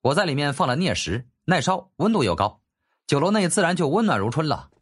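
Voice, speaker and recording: 一位男演播者在有声书里扮演一个厚脸皮、爱做买卖的年轻贵族公子，语气轻快讨好。. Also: male voice, on the high side, very animated, a little fast, husky, voice pushed up. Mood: breezy, boastful. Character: cheeky, shameless young nobleman turned merchant, glib and smiling. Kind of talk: audiobook character acting.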